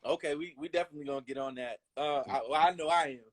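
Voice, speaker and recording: A man's voice: fairly high pitch, rapid-fire. A man hosting a casual interview, asking friendly questions in slangy speech with lots of "you feel me" and "you know". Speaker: interviewer and host.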